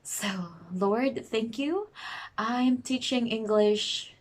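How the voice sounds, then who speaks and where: A woman's voice: a little high, extremely slow. A woman from the Philippines in her thirties, an online English teacher, explaining casually in a chatty way, laughing often and correcting herself.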